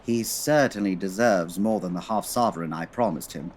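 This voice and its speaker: An actor playing a haughty, brilliant gentleman detective in a comedy audio drama, speaking formally with precise diction. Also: sits low, moderate pace. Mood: formal, dry.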